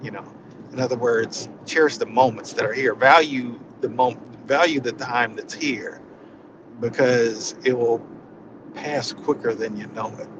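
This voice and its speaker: A man with a mid-pitched voice gives an informal inspirational talk at a slow pace. He is reflective and halting, circling back to repeat phrases. Clear ambient noise, like that of a vehicle, sits behind his voice. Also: lo-fi sound. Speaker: spiritual session leader.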